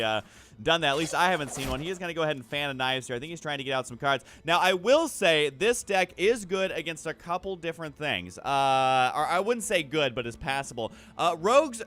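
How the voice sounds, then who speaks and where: Bright, higher-voiced man doing game commentary. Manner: self-deprecating, jokily exaggerating. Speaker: host of a gaming video channel. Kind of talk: casual gameplay commentary, recorded voice-over.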